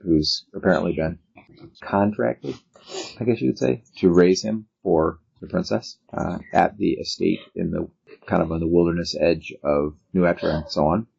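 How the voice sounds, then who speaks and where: A man working as game master, narrating a tabletop role-playing game in a halting way, thinking aloud as he goes. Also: deep voice, vocal fry, darkish tone, slightly rough. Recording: dull, lo-fi sound.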